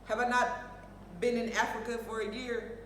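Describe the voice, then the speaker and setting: A woman's voice: fairly high pitch, little pitch movement, moderate pace, a bit bright. An American woman co-hosting a show, chatting casually in an outspoken, emphatic way and repeating phrases to press her point. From United States. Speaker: show co-host. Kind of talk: casual opinionated chat. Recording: roomy echo, distant mic, faint noise.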